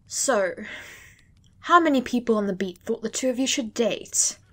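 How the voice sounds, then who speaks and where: A woman's voice: a little high, animated, moderate pace, sharp tone. A woman playing a wry female interrogator with a dry, teasing way of talking.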